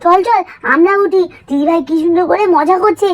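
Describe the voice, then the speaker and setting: A very high, childlike voice plays a playful little village girl in a doll-story cartoon. She pleads insistently, repeating her phrases like an eager younger sister. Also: brisk. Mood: excited, eager.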